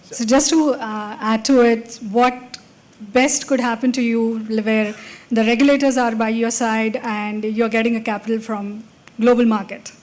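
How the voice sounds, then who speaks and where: Flat-voiced woman adding a point. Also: on the high side, measured pace. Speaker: panelist. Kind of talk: panel discussion.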